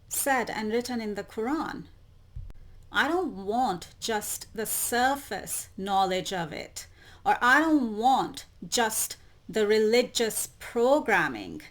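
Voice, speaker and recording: Woman host, solo spiritual talk, unhurried and deliberate, repeats her questions, mid-range voice. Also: mid-range voice. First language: not Arabic. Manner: slow, deliberate, repetitive questioning. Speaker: YouTube channel host. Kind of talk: solo spiritual monologue to camera.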